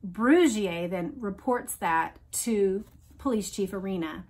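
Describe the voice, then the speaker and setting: A woman's voice: mid-range voice, expressive, takes it slowly, darkish tone, slightly rough. A woman hosting a read-along, reading aloud and adding emphatic explanations addressed directly to listeners. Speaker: host of a read-along discussion.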